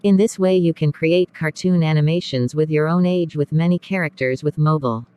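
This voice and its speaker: A narrator reading a scripted step-by-step software tutorial. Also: medium pitch, fairly even intonation, a little fast, no room sound, dull audio.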